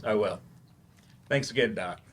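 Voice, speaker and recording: A man's voice: fairly low pitch, unhurried, a bit bright, slight background noise. A man playing an earnest, wry writer turned remedial English teacher in a staged play reading. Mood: earnest, subdued. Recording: live staged reading in a library.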